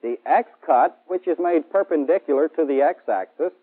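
Man, American accent, high-pitched, formal methodical instructor, scripted lecture, narrow-band 1960s film audio. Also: moderate pace, husky. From United States. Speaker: military electronics instructor. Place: indoor studio set. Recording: band-limited old 1960s film soundtrack.

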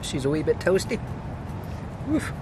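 A man in his thirties chats to the camera from inside a tent at night, casual and quick to exclaim. He cracks jokes as he goes. Wind and outdoor noise come through clearly behind him. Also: fairly high pitch. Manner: excitable, exclaiming, joking. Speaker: camping vlogger. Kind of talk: casual solo vlog narration.

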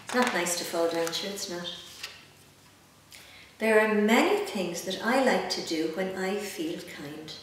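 An Irish woman in her sixties reads a picture book to young children, adding gentle, reassuring, chatty asides in simple words. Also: medium pitch. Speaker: children's story reader. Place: small indoor room with bookshelves. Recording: roomy echo, distant mic, background noise.

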